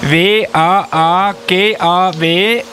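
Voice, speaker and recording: Man voicing a high, fussy elf policeman. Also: fairly even intonation, extremely slow, over music. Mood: earnest, alarmed. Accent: Swiss. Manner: indignant, officious, with repeated verbal tic. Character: fussy, self-important elf policeman of the Christmas corps. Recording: noticeable buzzy background noise.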